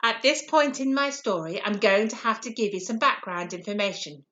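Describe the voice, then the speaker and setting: A woman voicing a small, earnest pea that tells its own story in an expressive, confiding way for children. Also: medium pitch, normal speed, lo-fi sound, close to an everyday voice. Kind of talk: first-person children's story reading.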